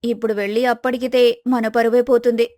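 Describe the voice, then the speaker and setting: A woman playing a clever, patient young village wife, polite and persuasive, in an animated moral story. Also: on the high side, fast, dry recording, close to an everyday voice. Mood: polite regret. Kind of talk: acted moral story dialogue.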